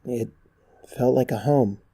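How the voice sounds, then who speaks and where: A narrator reading a first-person story in a measured, reflective way. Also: medium pitch, warm tone, breathy edge, no room sound. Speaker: narrator of fan fiction.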